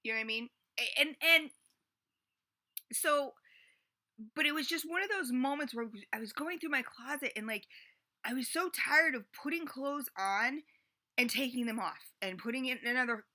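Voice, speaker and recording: Chatty woman in her forties, bright voice. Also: sits high, moderate pace. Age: 40s. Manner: rambling, chatty, full of "you know" and "like". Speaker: vlogger. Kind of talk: casual confessional vlog.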